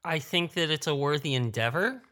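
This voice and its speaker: A man's voice: on the high side, expressive, moderate pace, sharp tone. A man speaking candidly in a casual roundtable discussion, thinking aloud and correcting himself as a creator on the panel. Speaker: audio drama creator and sound designer, roundtable panelist.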